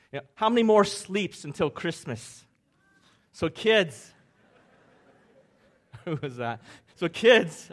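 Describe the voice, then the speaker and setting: Upbeat joking pastor from Hong Kong. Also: male voice, a little high. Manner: jokey, upbeat, asks the crowd questions. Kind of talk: sermon with audience interaction.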